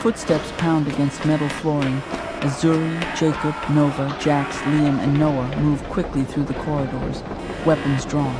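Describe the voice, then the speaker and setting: A man's voice: a little high, level tone, unhurried, close to an everyday voice. A man narrating a scripted sci-fi horror audio drama in a serious manner. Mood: tense narration. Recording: loud music bed behind.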